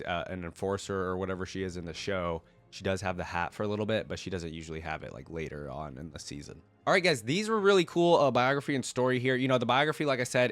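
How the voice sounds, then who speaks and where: Low-pitched man with a congested voice. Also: a bit bright. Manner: loose, conversational, thinking aloud. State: congested from a cold. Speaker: YouTube reactor and host. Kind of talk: casual gaming reaction commentary.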